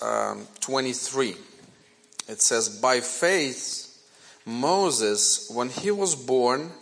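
Slow-speaking man with a Ukrainian accent. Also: mid-range voice, creaky, fairly bright tone. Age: 40s. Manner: earnest, measured storytelling. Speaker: guest preacher. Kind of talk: sermon with personal testimony.

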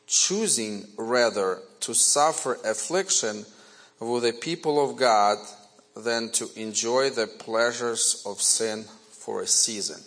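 A man in his forties speaks English with a Ukrainian accent, his first language being Ukrainian. He preaches unhurriedly at a mid-range pitch, telling his story earnestly and with care. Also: slow pace, a bit bright, poor audio. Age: 40s. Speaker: guest preacher. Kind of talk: sermon with personal testimony.

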